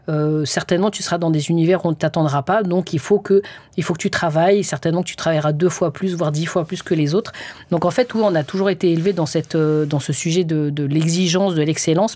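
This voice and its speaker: A woman's voice: low-pitched, mellow, no echo. Earnest woman in her forties, dry sound. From France. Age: 40s. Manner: earnest, hesitant, many fillers. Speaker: guest, orchestra conductor. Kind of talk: casual interview talk.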